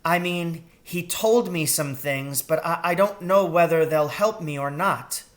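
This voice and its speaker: A man acts out a motherly storybook mouse in a raised, soft voice. She sounds gentle and polite, with a worried, hesitant way of speaking. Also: a little high, a little slow, voice pushed up, natural voice. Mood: uncertain. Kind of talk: children's storybook read aloud.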